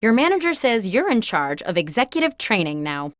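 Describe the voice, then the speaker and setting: An actress playing a chirpy, wry corporate interviewer as a video game host, reading scripted prompts in a crisp, mock-professional manner. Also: female voice, medium pitch, very animated, a little fast, no room sound, studio quality, natural voice. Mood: upbeat, wry.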